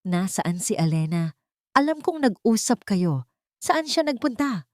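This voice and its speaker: A woman voicing an arrogant, wealthy man who is forceful, demanding and impatient in a narrated audio drama. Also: mid-range voice, sing-song, a little slow, no room sound, clean studio sound, pitched above the performer's own voice. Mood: angry, demanding.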